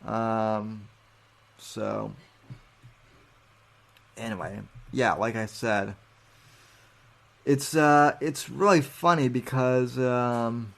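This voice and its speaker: A young man hosting a podcast livestream, reading chat comments aloud and answering critics in a halting way with many restarts. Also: medium pitch, steady tone, gravelly. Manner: defensive, mocking chat critics.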